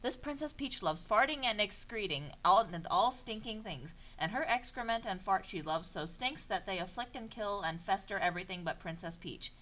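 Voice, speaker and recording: A woman reads a story aloud in an informal, theatrical way. Her pitch moves around a lot, and her manner is sardonic and exasperated, as if narrating under protest. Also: lively intonation, normal speed, hardly any pauses, pushed and bright, rough recording. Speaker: narrator of a comedic fan fiction reading. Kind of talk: informal dramatic reading with asides.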